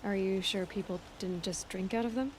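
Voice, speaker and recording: An actress playing a composed, direct young tracker heroine in a video game, speaking in a matter-of-fact, guarded way. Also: female voice, mid-range voice, normal speed, a little hiss or hum, natural voice. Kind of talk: video game character acting.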